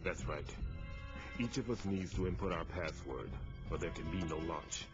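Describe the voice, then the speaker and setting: A weary captive official played by a man in a video game scene, with a very deep voice. He explains things gravely and warily. The audio is dull, with loud music behind. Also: normal speed, close to an everyday voice. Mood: grave, confiding. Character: weary, wary male captive government weapons agency chief. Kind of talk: video game cutscene acting.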